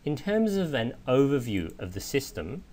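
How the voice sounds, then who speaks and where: A man giving a methodical, explanatory software walkthrough in the manner of a trainer. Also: mid-range voice, normal speed. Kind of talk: instructional screen walkthrough narration. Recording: clear steady background noise.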